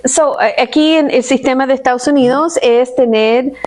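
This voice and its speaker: A middle-aged woman from California, a personal injury lawyer, calmly explaining legal points in an interview and slipping in English terms. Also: sits high, moderate pace. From California, United States. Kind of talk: interview answers.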